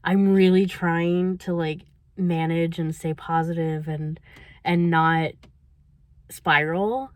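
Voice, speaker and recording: A woman's voice: on the low side, steady tone, slow, no room sound. A young woman talking casually in a reading vlog, chatty and laughing often between her thoughts. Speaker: book vlogger.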